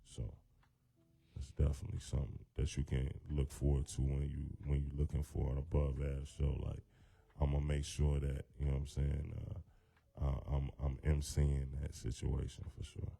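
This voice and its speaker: A man with a very deep voice, a hip-hop artist talking as a guest in a radio studio in a loose, laid-back way full of fillers. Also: completely flat delivery, normal speed, creaky, mellow, breathy edge. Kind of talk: casual radio interview.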